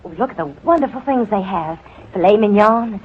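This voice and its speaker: A woman's voice: mid-range voice, expressive, fairly quick, close to an everyday voice. An actress playing a gushing young woman who pretends to be posh, speaking in a breathless 1940s radio comedy style. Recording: dull old radio broadcast with studio audience and noise.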